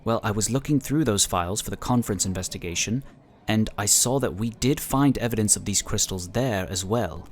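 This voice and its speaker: An actor playing a calm, courteous inquisitor who explains things in a formal, measured way in a sci-fi audio drama. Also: male voice, fairly low pitch, slight background noise, lightly acted. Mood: explaining. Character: calm, courteous psionic inquisitor, proud pacifist.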